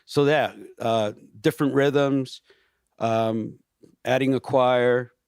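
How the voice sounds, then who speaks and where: Pastor guy chatting on a podcast, mid pitch, gets animated, lots of "you know" and restarts, dry close mic. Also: slow, clean tone, no echo. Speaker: pastor and worship leader, guest. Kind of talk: casual podcast conversation.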